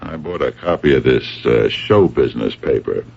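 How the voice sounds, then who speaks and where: A man acting in a radio mystery as a shrewd, dogged police captain who questions people in a deliberate, probing way, with a gravelly voice. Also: very deep voice, expressive, darkish tone, exaggerated voice. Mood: pressing, skeptical. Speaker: radio drama actor. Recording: dull old radio broadcast recording.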